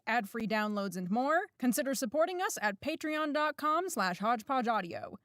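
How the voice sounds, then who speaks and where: A woman's voice: sits high, fairly bright tone, dry recording, studio quality. A woman speaking as the creator and host of a fiction podcast, addressing and thanking listeners. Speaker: writer, director, producer and voice actor of an audio drama. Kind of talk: podcast introduction and patron thanks.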